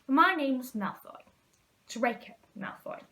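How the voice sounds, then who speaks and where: A woman's voice: sits high, expressive, takes it slowly, pushed and bright, heavily acted. A woman voicing a sneering, condescending schoolboy bully in a storybook reading. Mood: haughty. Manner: careless, condescending drawl.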